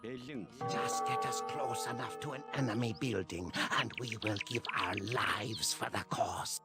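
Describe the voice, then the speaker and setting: A man's voice: mid-range voice, a little slow, natural voice. A man voicing a devoted Mongol soldier in a video game, urgent and declamatory as he reports to his lord. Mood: urgent, dutiful. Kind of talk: scripted game battle dialogue. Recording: loud music under the voice.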